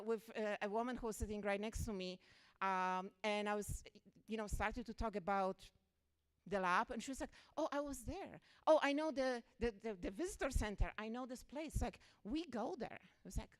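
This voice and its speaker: A woman's voice: a little high, fairly bright tone. A woman from Poland with a Polish accent, the founder of a physics workshop, giving an emotional and effusive welcome speech to an event audience. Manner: emotional and effusive, gushing with enthusiasm. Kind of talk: warm public welcome speech. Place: lecture event hall.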